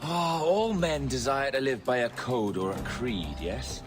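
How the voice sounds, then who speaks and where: An actor playing a proud, cynical pirate captain in a video game, with a theatrical, measured, sardonic way of speaking. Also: male voice, mid-range voice, expressive, soft background music, close to an everyday voice. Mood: sly, cynical.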